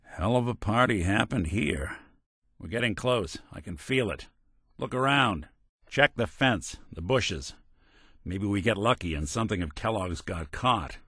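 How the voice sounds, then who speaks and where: An actor playing a weary, wry robot detective with a conscience in a video game, speaking in a hardboiled 1940s American style with dry, streetwise delivery. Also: male voice, fairly low pitch, animated, measured pace, fairly bright tone, breathy edge, no room sound, exaggerated voice. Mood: focused, investigative.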